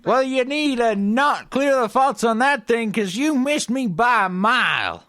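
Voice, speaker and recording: Man acting a high-pitched, blustering cowboy handyman. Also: animated, a little slow, sharp tone, dry recording, full character voice. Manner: blustering, taunting. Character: cantankerous bickering old west handyman, boastful tool rival. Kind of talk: comedic western radio play.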